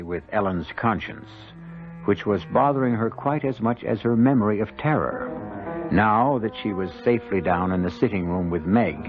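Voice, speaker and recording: A man hosting a radio drama, narrating in an ominous, deliberate, theatrical and ornate style. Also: on the low side. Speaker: host and narrator. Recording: dull old radio recording. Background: music.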